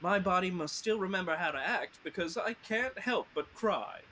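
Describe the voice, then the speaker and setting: A man's voice: high-pitched, normal speed, sharp tone, a little hiss or hum. A man playing a tender-hearted, anxious and weepy tin woodman in a live fantasy table read, fretful and melodramatic. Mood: mournful earnest.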